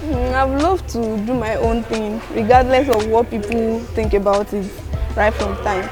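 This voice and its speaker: Soft-spoken young Nigerian woman. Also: a little high, fairly even intonation, moderate pace, over music. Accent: Nigerian Yoruba. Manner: soft-spoken, laughs often, mixes in Yoruba. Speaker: guest vlogger. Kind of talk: casual interview answers.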